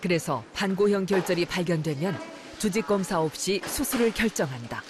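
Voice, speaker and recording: A narrator reading a scripted medical documentary in a measured, formal way. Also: mid-range voice, expressive. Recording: music behind the voice. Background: background music.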